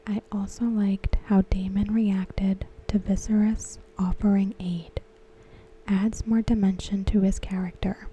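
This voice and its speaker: Slow, soft-whispering woman. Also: medium pitch, slightly rough, low-quality recording. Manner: soft unvoiced whisper throughout. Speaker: ASMR creator discussing a TV episode. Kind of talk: ASMR whisper.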